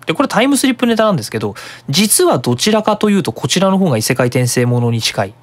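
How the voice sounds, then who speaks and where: A web radio host giving a casual, explanatory monologue in an animated manner. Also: medium pitch, fast talker.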